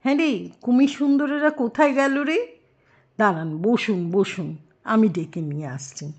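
A woman voicing a sly male fox schoolmaster from a children's story, flattering and fussily hospitable. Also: on the high side, a little slow. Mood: fussy, welcoming. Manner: fussy, coaxing, ordering pupils about.

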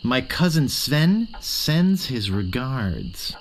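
A man's voice: mid-range voice, very animated, extremely slow, a little hiss or hum, heavily acted. A man voicing a naive, eager young would-be pirate with an earnest, boyish manner in a comedic video game. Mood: conspiratorial. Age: young adult. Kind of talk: light comedic game acting.

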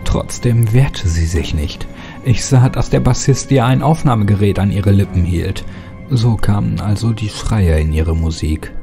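A man's voice: on the low side, a little slow, creaky. A man giving a scripted, dramatic first-person narration of a horror story. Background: music.

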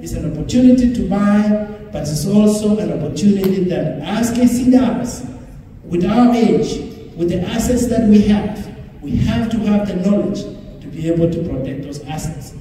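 A man's voice: sits high, warm tone. A middle-aged man giving a motivational speech to a gathering over a PA microphone. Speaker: community speaker and realtor. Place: large hotel banquet hall, indoors. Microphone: handheld mic over PA, recorded from the audience. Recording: echoey hall from far off, faint noise.

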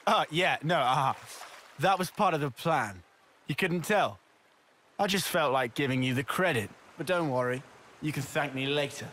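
Game role: cocky young male soldier, bright forceful voice pitched a bit high, ambient noise behind. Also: animated, moderate pace. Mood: defensive, smug. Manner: swaggering, informal. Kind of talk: video game cutscene acting.

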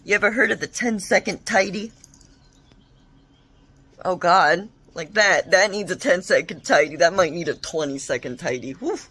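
A woman's voice: on the low side, normal speed, fairly bright tone, breathy edge. Chatty young woman vlogging at home. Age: young adult. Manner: chatty and bubbly, self-mocking. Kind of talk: casual vlog chat. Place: living room at home. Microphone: phone camera at arm's length.

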